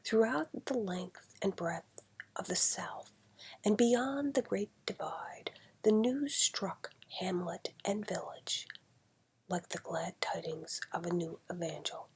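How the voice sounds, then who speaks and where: A woman with a husky, breathy voice reading an old novel aloud in a deliberate, dramatic storytelling manner. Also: on the low side, poor audio. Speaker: amateur reader.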